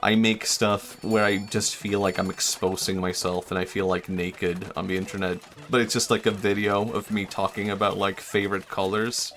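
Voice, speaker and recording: A man's voice: low-pitched, flat delivery, moderate pace, few pauses, a bit bright, slight background noise. Young man musing aloud while gaming. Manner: rambling and self-reflective, trails off, many fillers and restarts. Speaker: streamer. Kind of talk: casual chat while gaming.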